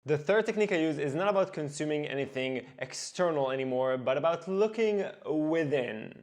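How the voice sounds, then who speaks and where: A man, an animation director, giving earnest scripted devlog narration and slowing down for emphasis. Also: a little high, animated, a little mellow.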